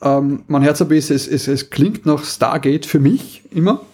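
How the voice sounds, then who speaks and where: A man's voice: fairly high pitch, normal speed, darkish tone, breathy edge. A man with an Austrian accent chatting casually as a podcast co-host, often repeating and restarting his phrases. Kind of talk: casual chat about audio drama series.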